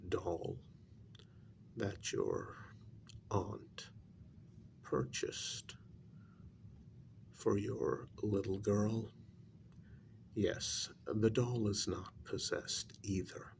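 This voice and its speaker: A man acts out a grave male spiritualist who talks extremely slowly in a low, soft, gravelly voice. He picks his words with care and hesitates before hard news, in a hushed ASMR role-play style with background noise. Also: exaggerated voice. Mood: calm, patient. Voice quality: soft, low, creaky voice, breathy in places. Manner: very slow, deliberate, hesitant, with long pauses.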